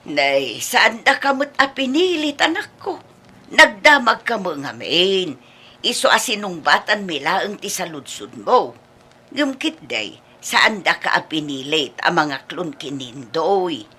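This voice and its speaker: An actress playing an exasperated, scolding mother of a grown daughter in an Ilocano radio comedy drama, husky and emphatic. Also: on the low side, big pitch swings, unhurried, bright, forceful tone, exaggerated voice.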